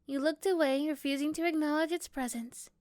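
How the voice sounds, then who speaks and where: A woman's voice: high voice, fairly quick, darkish tone, no room sound, studio quality. A woman reading scripted second-person story narration for a roleplay. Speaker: narrator and performer.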